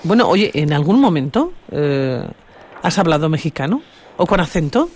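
A woman's voice: low voice, sing-song, moderate pace, a little mellow, husky. A woman hosting a radio interview program, encouraging guests with frequent brief acknowledgements. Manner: friendly, encouraging, frequent "ujú" backchannels. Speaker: radio host.

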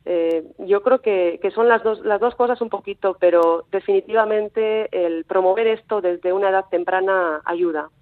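A woman's voice: medium pitch, moderate pace, few pauses. A Basque-born woman in her thirties with a Mexican-tinged Spanish accent, a coastal researcher speaking hesitantly with many fillers and restarts. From Basque Country. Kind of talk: phone interview. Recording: tinny phone line.

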